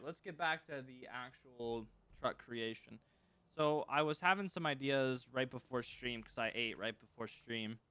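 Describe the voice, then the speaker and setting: A man's voice: medium pitch, sharp tone, clear, resonant tone, no echo. A man casually teaching scripting on a live stream, thinking aloud as he works and chatting with viewers. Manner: thinks aloud while working, loose and informal, reads chat and answers.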